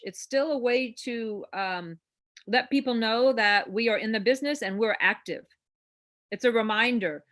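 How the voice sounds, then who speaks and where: A woman's voice: fairly high pitch, fairly bright tone. A woman hosting a real estate class on a video call, coaching in a chatty, encouraging way that rambles with fillers. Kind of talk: informal coaching talk.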